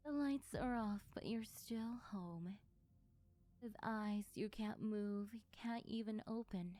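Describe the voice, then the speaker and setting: A female sleep paralysis demon, played by a woman, murmurs close to the mic in a soft, mellow, breathy voice that often slips into whispers. She takes her time, taunting and possessive, like a menacing yandere lover in an ASMR roleplay. Faint music plays behind her. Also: medium pitch. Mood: teasing. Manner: slow, teasing, menacingly intimate. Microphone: close ASMR microphone.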